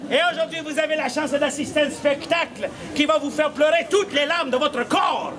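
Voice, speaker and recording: A Québécois man performs comic patter outdoors in a very high, projected voice. He teases his audience playfully and theatrically, with a big noisy crowd all around him. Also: brisk. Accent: Québécois French. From Quebec, Canada. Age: middle-aged. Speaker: street puppeteer and comedian. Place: outdoor cobbled street among an audience. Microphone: distant camera in the crowd. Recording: outdoor street recording with loud crowd.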